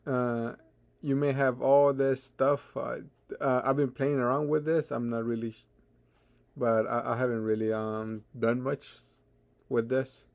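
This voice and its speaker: A man giving an unscripted software tutorial in a halting way, often stammering and repeating his words as he goes. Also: mid-range voice, level tone, gravelly. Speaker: tutorial presenter.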